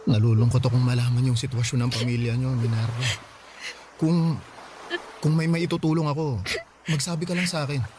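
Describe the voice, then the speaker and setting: An actor playing a gentle, supportive young boyfriend who reassures his girlfriend. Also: medium pitch, steady tone, fairly quick, background noise, lightly acted. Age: young adult.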